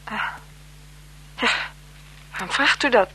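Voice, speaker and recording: A woman's voice: slow pace, sharp tone, slight background noise, rough recording. An actress playing a formal, guarded French marquise, a bored wife and mother, in a 1970s Dutch radio drama. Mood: evasive, uneasy. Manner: formal, hesitant, evasive with her companion.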